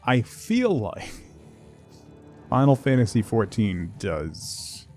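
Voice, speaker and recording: A man's voice: on the low side, huge pitch swings, takes it slowly, a little mellow, faint music behind. A man chatting casually as he livestreams a game, muttering asides to himself and his viewers. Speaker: game streamer. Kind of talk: casual livestream gameplay chat.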